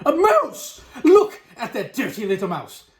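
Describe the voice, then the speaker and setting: A man voicing angry kitchen men, shouting urgently and aggressively in a storybook reading. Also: very high-pitched, wildly swooping pitch, a little slow, echoey room, pitched above the performer's own voice, exaggerated voice. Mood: outraged alarm. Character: angry kitchen men yelling after a mouse.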